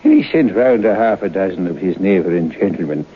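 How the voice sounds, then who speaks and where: An actor playing a knowing folk-tale storyteller, narrating an old-time radio drama in an unhurried way. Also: male voice, sits low, expressive. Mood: wry. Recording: muffled, hissy old radio broadcast.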